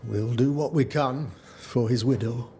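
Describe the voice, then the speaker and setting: An actor playing a pious, self-important colonial governor with a formal, condescending manner. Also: male voice, medium pitch, unhurried, mellow, slight background noise, natural voice. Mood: solemn. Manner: formal, condescending, smooth diplomacy.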